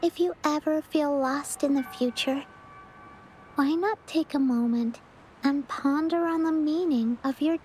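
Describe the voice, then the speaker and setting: A woman voicing a sweet, wistful little girl in a video game, gentle and earnest in manner. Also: high-pitched, poor audio. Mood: earnest, curious. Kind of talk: video game character dialogue.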